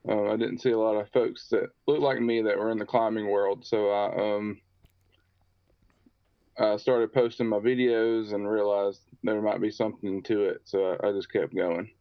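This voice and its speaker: Male guest from the southern US, low, hesitant and easygoing, dull dry recording. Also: level tone, moderate pace, creaky, no echo. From southern United States. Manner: easygoing, hesitant with many ums. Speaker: podcast guest. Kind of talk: casual interview talk.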